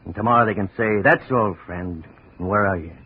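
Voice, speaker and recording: An actor playing a bitter, world-weary steelworker in a 1950s radio drama. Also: male voice, on the low side, brisk, husky. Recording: tinny old radio broadcast.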